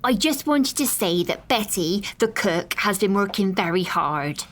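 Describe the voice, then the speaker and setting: A man's voice: high voice, animated, unhurried, fairly bright tone, voice pushed up. A man voicing a nervous young housemaid who fires her words out stiffly and adds "sir" to everything. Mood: stiff, blurting.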